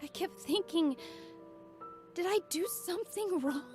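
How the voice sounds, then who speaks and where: A stubborn young fire mage. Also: female voice, very high voice, unhurried, a little mellow, soft background music. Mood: hurt. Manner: heartfelt, stammering when upset. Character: young woman mage, stubborn, hurt, feels like an outsider. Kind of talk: visual novel voice acting.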